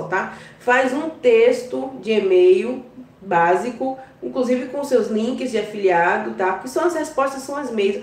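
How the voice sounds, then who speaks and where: A woman with a Bahian Portuguese accent giving casual advice to her viewers, often checking in with a quick "tá?". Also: a little high, a little mellow, echoey room, far from the mic. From Brazil. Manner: chatty, keeps adding "tá?" to check in with viewers. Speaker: online business vlogger.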